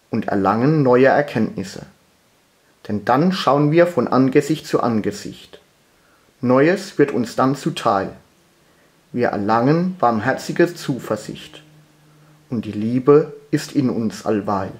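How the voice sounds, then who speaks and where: A man reciting poetry solemnly, in measured, deliberate phrases with pauses between them. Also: mid-range voice, a little mellow.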